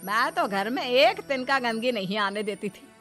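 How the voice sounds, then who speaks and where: A boastful, taunting mother-in-law for a family cartoon. Her high voice swings animatedly as she scolds and nags. Music plays behind her. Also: animated, normal speed, a bit bright. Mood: scornful. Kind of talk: Hindi family cartoon voice acting.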